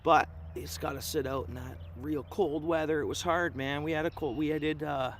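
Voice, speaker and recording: A man's voice: on the high side, steady tone, normal speed. A Canadian man with an Ontario accent chats casually and in a slangy, laid-back way as he hosts a fishing livestream. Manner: laid-back slangy talk, says dude, man, bro. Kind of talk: casual live chat with viewers. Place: outdoors at a fishing spot. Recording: clear outdoor ambient noise.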